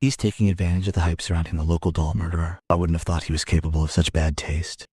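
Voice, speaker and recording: A hard-bitten detective from a crime radio play. His voice sits very low and husky, and he recounts the case in a measured, brooding way, recorded close and dry. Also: little pitch movement, a little mellow, no echo. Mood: musing, grave. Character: grim, hard-bitten male police investigator recounting a case. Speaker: narrator and lead investigator. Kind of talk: crime audio drama narration and dialogue.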